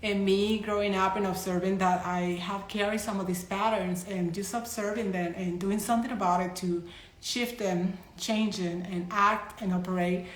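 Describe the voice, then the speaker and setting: A woman's voice: medium pitch, steady tone, normal speed. A woman in her thirties from Colombia with a Colombian Spanish accent, a psychic medium chatting loosely to her followers on a live stream with frequent restarts. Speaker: psychic medium and energy healer. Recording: echoey room.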